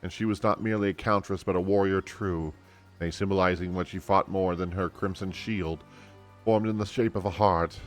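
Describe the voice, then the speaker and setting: A man acts out a formal noblewoman from a fantasy game, loyal and earnest. The delivery is stately and old-fashioned, fairly low in pitch, over faint music. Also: fairly even intonation, normal speed, natural voice. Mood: stirring. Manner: stately, archaic phrasing.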